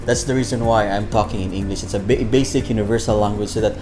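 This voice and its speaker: A man's voice: fairly low pitch, fairly even intonation, fast. Chatty Filipino-accented man, music behind. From Philippines, Bohol. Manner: chatty, repeats himself, many fillers. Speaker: food vlogger. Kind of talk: casual food review vlog.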